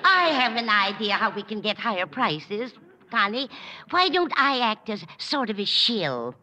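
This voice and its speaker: A scatterbrained older lady in a vintage radio comedy. Her voice is bright and a little high, swinging freely up and down as she chatters guilelessly. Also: very animated, a little slow, heavily acted. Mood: chatty, guileless. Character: dotty, genial older lady, scheming innocently as shill. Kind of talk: 1950s radio sitcom acting. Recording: vintage 1950 radio broadcast.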